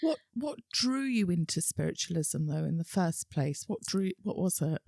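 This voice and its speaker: Gravelly-voiced woman podcast host. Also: lowish voice, animated, normal speed, a little mellow, dry recording, clean studio sound. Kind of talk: casual interview chat.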